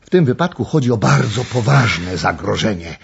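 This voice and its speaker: An actor playing an older upper-class doctor, a stern but courteous uncle with a husky voice and formal manner, in a radio drama. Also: male voice, on the low side, big pitch swings, moderate pace, slight background noise. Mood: grave. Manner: formal, courteous, hesitant asides. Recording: dull, muffled recording.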